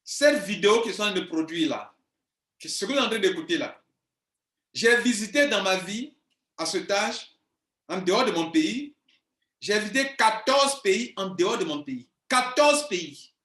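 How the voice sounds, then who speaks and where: Man, 40s, business coach; high-pitched, insistent, repeats points, roomy echo. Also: expressive, normal speed, bright, forceful tone. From Ivory Coast. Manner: insistent, emphatic, repeats himself. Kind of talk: video monologue to viewers. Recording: echoey room.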